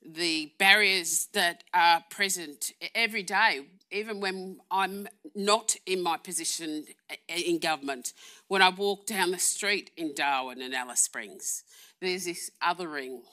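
A woman's voice: lowish voice, sharp tone. A middle-aged woman answering audience questions in a lecture room, speaking earnestly and candidly.

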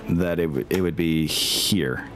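A man talking casually as a game master, often thinking his decisions out loud. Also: low-pitched, unhurried. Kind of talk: casual tabletop game talk. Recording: music playing behind the voice. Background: background music.

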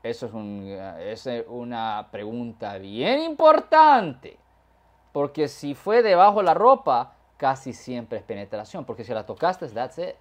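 A man's voice: medium pitch, expressive, unhurried. Man, criminal lawyer, long explanations, switches into English words. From California, USA. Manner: explains at length, mixes English words into Spanish. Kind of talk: conversational legal explanation.

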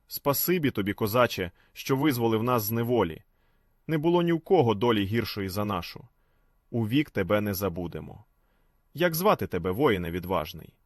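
A humble, oppressed villager from a storybook folk tale, voiced by a male narrator. The speech is highly expressive in pitch, in a dry, close sound. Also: brisk, dry recording. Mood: grateful. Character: frightened, oppressed villagers, grateful to their rescuer.

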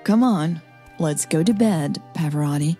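A woman's voice: sits low, a little slow, mellow, soft background music. A woman voicing a wry, tired young businesswoman who murmurs dry, teasing asides to her cat late at night.

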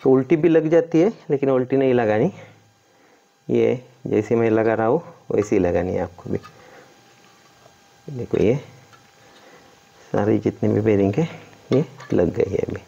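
A man's voice: mid-range voice, a little slow, vocal fry, pauses often, warm tone. A man giving hands-on instructional commentary as a mechanic, explaining and pointing things out step by step. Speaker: car mechanic presenting a tutorial. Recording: dull lo-fi sound.

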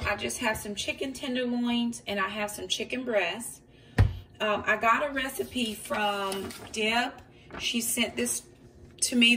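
A woman's voice: fairly high pitch, bright, forceful tone. A woman, a mom vlogger, talking through a casual cooking walkthrough in her home kitchen, explaining each step with a few ums. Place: home kitchen counter indoors. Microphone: phone camera pointed down at the counter. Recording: voice a bit far from the mic, faint background noise.

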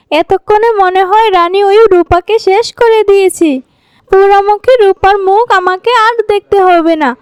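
Woman playing very high-pitched spiteful cartoon villainess. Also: no echo. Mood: gloating. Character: vengeful, imperious fairy-tale queen, later a spiteful greedy girl. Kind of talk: animated fairy tale voice acting.

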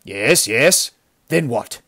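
A man's voice: on the low side, lively intonation, takes it slowly, a bit bright, husky, full character voice. Guy doing a mean wolfish bandit boss for an adventure tale, snarly, bossy, no patience. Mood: impatient, snarling. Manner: snarling, commanding, impatient. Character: ruthless, cursing bandit chief nicknamed the wolf. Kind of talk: dramatic storybook villain acting.

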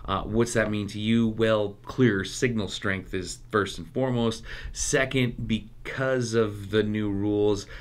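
Low-voiced man hosting a gear review. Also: fairly even intonation, measured pace, few pauses. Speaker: host of a radio review channel. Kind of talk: casual product review and field test to camera.

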